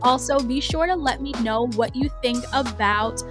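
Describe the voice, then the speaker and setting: A woman's voice: a little high, moderate pace, over music. A woman hosting a casual solo podcast, a little nasal from a cold, talking in a chatty, rambling way with restarts. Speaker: podcast host.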